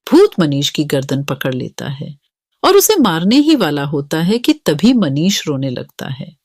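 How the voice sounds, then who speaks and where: Female narrator for children's moral stories: expressive melody, scripted delivery, dry close recording. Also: deep voice, measured pace, no room sound.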